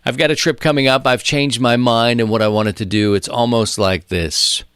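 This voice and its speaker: A man's voice: mid-range voice, steady tone, moderate pace, fairly bright tone, clean tone, dry recording, clean studio sound. A man in his thirties hosting a podcast in casual, rambling talk, often repeating phrases for emphasis. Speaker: podcast host.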